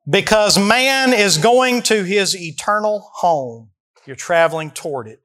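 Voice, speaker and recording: High-pitched Southern man preaching. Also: expressive, a little slow, sharp tone. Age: early 40s. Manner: folksy, emphatic, rhetorical questions to listeners. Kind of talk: expository sermon, reading verses and explaining them.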